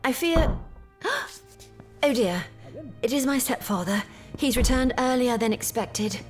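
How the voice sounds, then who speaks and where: A woman's voice: on the high side, animated, slow pace, soft background music. An actress playing a polite, anxious young Victorian lady, earnest and apprehensive, in a period audio drama. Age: young adult. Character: anxious, polite young Victorian bride-to-be fearing her stepfather.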